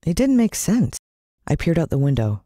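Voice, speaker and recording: An American woman narrating a children's story in the first person, expressive and suspenseful. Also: low voice, normal speed, dry recording, clean studio sound. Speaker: audiobook narrator.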